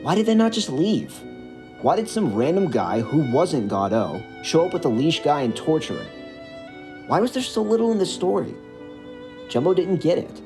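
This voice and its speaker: A man narrating a scripted character backstory as an audio drama, in an expressive, dramatic storytelling manner. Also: medium pitch.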